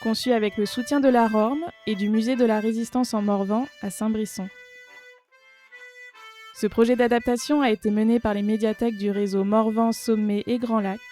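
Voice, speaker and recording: A woman's voice: fairly high pitch, steady tone, normal speed. A woman reading scripted end credits as an announcer. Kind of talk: scripted narration. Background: soft music.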